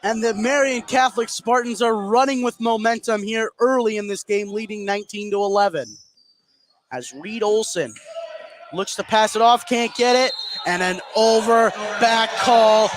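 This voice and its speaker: High-pitched male basketball play-by-play commentator. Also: moderate pace. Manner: excited on big plays. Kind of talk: live high school basketball radio commentary. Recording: gym noise behind the voice. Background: gym ambience, bouncing basketball.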